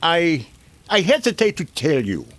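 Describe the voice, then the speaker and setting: A man's voice: fairly high pitch, very animated, unhurried, fairly bright tone. A man playing a sinister, boastful doctor in a 1950s-style radio drama, speaking formally, theatrically and secretively. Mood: grandiose, intense. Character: sinister grandiose doctor claiming to raise the dead.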